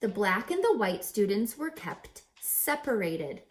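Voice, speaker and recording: A woman reading a rhyming children's picture book aloud as a teacher, with theatrical, deliberate, emphatic phrasing. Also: medium pitch, very animated. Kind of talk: expressive children's read-aloud of rhyming verse.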